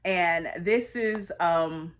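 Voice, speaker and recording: A woman's voice: fairly low pitch, drawn-out words. A woman in her thirties from the United States, talking through personal reflections in an earnest, conversational way with frequent fillers. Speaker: host sharing personal reflections.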